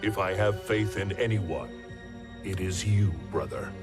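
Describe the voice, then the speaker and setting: A solemn loyal god. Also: male voice, low-pitched, animated, unhurried. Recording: music underneath.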